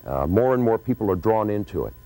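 An American man in his fifties recalling events in an interview, speaking in a deliberate, emphatic storytelling way. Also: fairly low pitch, sing-song, dark tone, no echo, soft background music, low-quality recording. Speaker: former radio producer recalling a broadcast. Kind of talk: interview recollection. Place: TV studio with curtain backdrop.

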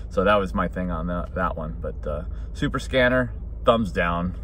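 A man's voice: deep voice, animated, normal speed, fairly bright tone. A man, chatting bluntly and casually in an opinionated vlog. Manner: blunt, informal. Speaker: hobbyist radio vlogger. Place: inside a car. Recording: clear vehicle noise behind voice.

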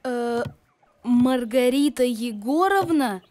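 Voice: medium pitch, animated, slow pace, darkish tone. A performer playing a sensible, independent young schoolboy in a cartoon, politely stubborn. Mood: insistent. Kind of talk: cartoon voice acting.

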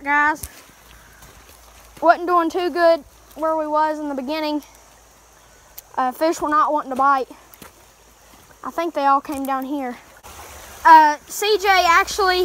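Easygoing woman filming a creek fishing trip, high bright voice. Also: steady tone, measured pace, long pauses. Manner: chatty, easygoing, talks to viewers. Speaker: fishing vlogger. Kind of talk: casual running commentary while fishing. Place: outdoors by a wooded mountain creek. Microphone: handheld phone camera.

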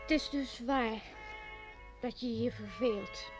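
A woman's voice: a little high, slow pace, over music. A woman playing a wistful, jealous young innkeeping daughter of a mayor in a radio play, on a dull old recording. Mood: wistful, sulky. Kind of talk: radio drama acting.

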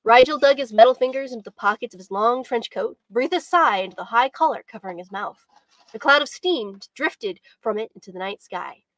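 A woman's voice: a little high, animated, fairly quick, a bit bright, dry recording, poor audio. A young woman hosting a video stream and reading stories aloud in a storybook style. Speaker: host and writing instructor. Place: indoors by bookshelves, on a video stream.

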